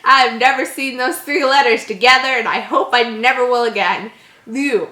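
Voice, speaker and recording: A woman's voice: a little high, moderate pace, bright, forceful tone. A woman hosting a channel, reading a horror story aloud with giggly, stumbling asides. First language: English. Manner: giggly, stumbles over words. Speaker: channel host. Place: echoey indoor room. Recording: echoey room.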